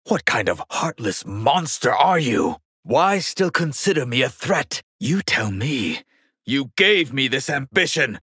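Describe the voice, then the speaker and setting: An actor playing a proud, ambitious sorcerer warrior in a fighting video game, haughty and theatrical, with a husky voice. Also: male voice, medium pitch, pushed and bright, dry recording, clean studio sound, full character voice. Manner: haughty, imperious, theatrical delivery. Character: proud, ambitious male sorcerer warrior who commands water.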